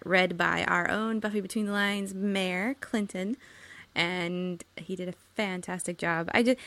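A woman's voice: medium pitch, gravelly, fairly bright tone, no echo. A woman hosting a podcast, giving an informal, enthusiastic introduction in a gushing, fannish way. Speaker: podcast host.

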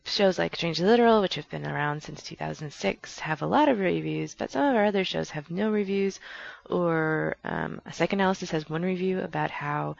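A woman's voice: on the low side, fairly quick, vocal fry, highs cut off. A woman hosting a podcast in a casual, rambling, chatty and self-deprecating way, recorded on a headset. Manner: chatty, self-deprecating, laughing at own slips. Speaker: podcast host.

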